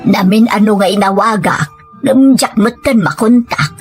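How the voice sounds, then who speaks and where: An actress plays a middle-aged provincial aunt in an audio drama. She has an average-pitched voice and a northern Philippine accent. Her delivery is earnest and gently scolding, with quiet music underneath. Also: moderate pace, lightly acted. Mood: reassuring. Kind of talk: amateur audio drama acting.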